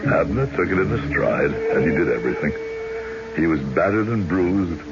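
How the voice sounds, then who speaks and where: Very deep frontier judge narrator. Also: male voice, lively intonation. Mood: reflective. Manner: measured storytelling. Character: reflective frontier judge recalling events, plain-spoken. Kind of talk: radio drama narration. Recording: dull old radio recording. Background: music.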